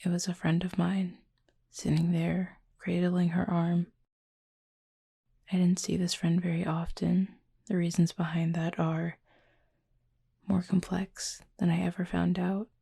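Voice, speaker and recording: Casting: gentle Cupid roommate, played by a woman, ASMR roleplay; husky, breathy, evenly pitched, measured and quietly affectionate. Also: full character voice. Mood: quiet, reflective. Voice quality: soft, breathy, husky, often near-whisper. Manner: slow, measured, formal storytelling with soft pauses. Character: gentle adult Cupid roommate, wistful, affectionate, softly teasing. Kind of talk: intimate ASMR audio roleplay.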